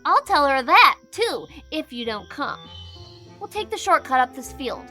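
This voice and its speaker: Mischievous scrappy boy, very high sharp voice, blustering and defiant, storybook drama. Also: big pitch swings, unhurried, soft background music, full character voice. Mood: bossy, scheming. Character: defiant, mischievous young boy, hot-tempered and bragging. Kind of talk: audio storybook character acting.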